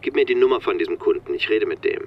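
An actor playing a brisk, controlling middle-aged businessman who juggles calls in a naturalistic radio drama, insistent yet placating. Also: lowish voice, animated, highs cut off.

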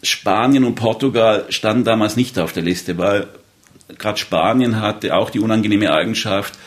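A man in his fifties from southern Germany speaks in a low voice. He tells his memories conversationally, as someone being interviewed. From southern Baden, Germany. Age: 50s. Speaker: interviewee recalling rail travels. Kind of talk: conversational storytelling.

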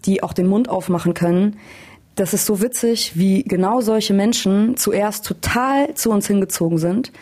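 A woman's voice: medium pitch, moderate pace, warm tone. A young woman from Hamburg, a singer and guest, talking candidly in a casual interview and laughing at herself. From Hamburg, Germany.

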